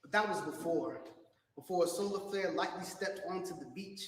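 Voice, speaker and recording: A man performing spoken word poetry on stage in a hall, with dramatic, measured phrasing. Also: sits high, a little hiss or hum. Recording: echoey hall, distant from the mic.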